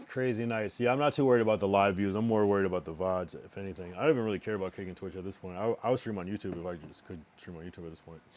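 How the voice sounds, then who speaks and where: A young man with a strained, worn voice, chatting casually on a live stream and rambling with lots of restarts. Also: lowish voice. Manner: rambling, halting, many restarts. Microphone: streaming microphone.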